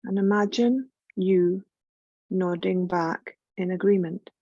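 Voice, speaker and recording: A woman with a lowish, soft-edged voice leads a guided meditation. She speaks gently and soothingly, leaving generous pauses between phrases. The recording is dry and close, with a slightly dull, muffled sound. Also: dry recording. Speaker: yoga nidra meditation guide.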